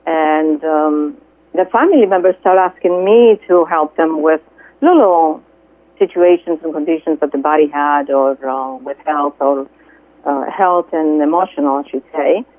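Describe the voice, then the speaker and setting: A middle-aged woman from Greece with a Greek accent, a guest speaking earnestly and haltingly, with many fillers, over the phone. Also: low-pitched, no echo. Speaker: guest, Reiki healer. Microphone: telephone. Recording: tinny dry phone line.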